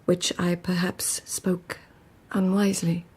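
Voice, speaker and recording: An actress playing a proud, witty court lady in a radio drama, with formal, measured speech and a husky, creaky voice. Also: fairly low pitch, little pitch movement, a little mellow. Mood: deferential, careful. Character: proud, witty Japanese court lady, deferential yet flustered. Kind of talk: radio drama acting with first-person narration.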